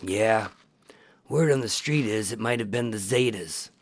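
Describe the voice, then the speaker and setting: An actor playing a wary, wry undercover FBI agent in a noir-like radio drama. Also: male voice, lowish voice, a little slow, dry recording. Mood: wry, probing. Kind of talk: noir-like scripted narration and radio drama acting.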